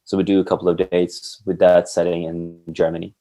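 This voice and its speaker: A man, a musician talking casually as an interview guest and pausing to search for words. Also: low-pitched, little pitch movement, mellow. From Sweden. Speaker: band guitarist, podcast guest.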